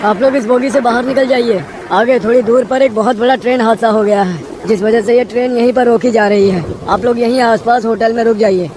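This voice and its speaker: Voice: medium pitch, fairly even intonation, a little fast, hardly any pauses, background noise, lightly acted. An actor voicing a firm male railway police officer in a cartoon story. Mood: stern, warning.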